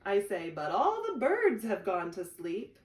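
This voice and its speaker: A woman's voice: medium pitch, wildly swooping pitch, a little slow. A woman voicing a patient big brother in a storybook, coaxing and reasoning with his little sister through questions. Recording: echoey room, distant microphone.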